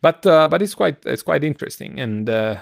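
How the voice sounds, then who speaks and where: A middle-aged man with a gravelly voice, co-hosting a casual science news discussion in a halting way, searching for words. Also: fairly high pitch, lively intonation, no room sound, studio quality. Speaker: podcast co-host. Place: home room, video call. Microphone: headset on a video call.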